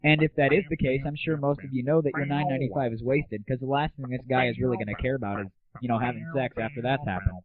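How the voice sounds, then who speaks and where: A man's voice: medium pitch, fast talker, few pauses, crowd noise. A man hosting an internet audio show, delivering a crude, sarcastic and casual comic rant. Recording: narrow, tinny lo-fi internet audio.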